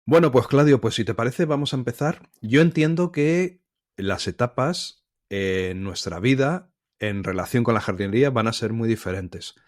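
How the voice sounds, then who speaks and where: A man acting as interviewer, steering a relaxed conversation with friendly, clear questions. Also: medium pitch, moderate pace, no echo, studio quality. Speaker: podcast co-host asking the questions.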